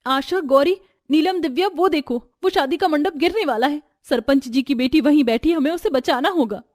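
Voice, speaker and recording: A sweet, innocent young sister-in-law from a family moral story, voiced by a woman. She sounds girlish and eager, pitched high. The recording is very dry. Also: normal speed, darkish tone, no room sound, natural voice. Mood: urgent.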